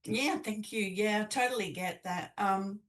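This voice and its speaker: An older woman with a New Zealand accent speaks as a guest in a conversational interview. Her pitch is average for a woman. She sounds set back from the microphone in an echoey room. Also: a little slow. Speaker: podcast guest, business coach. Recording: echoey room, distant mic.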